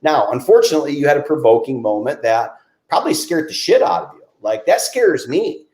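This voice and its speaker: A man's voice: medium pitch, big pitch swings, brisk, a little mellow. A man in his forties hosting a podcast, chatting casually and filling his talk with "you know". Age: 40s. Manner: talkative, many "you know" fillers. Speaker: podcast host. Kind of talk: casual interview chat. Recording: echoey room.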